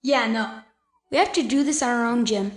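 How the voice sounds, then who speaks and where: A woman's voice: fairly high pitch, moderate pace, dry recording, natural voice. A woman playing a sensible, cautious ten-year-old boy in an audio drama, casual and matter-of-fact. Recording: faint night crickets behind.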